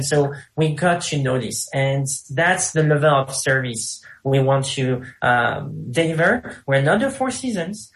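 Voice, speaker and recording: A man's voice: on the high side, poor audio. A man with a thick French accent, a business co-founder and guest answering interview questions eagerly, repeating and restarting his words.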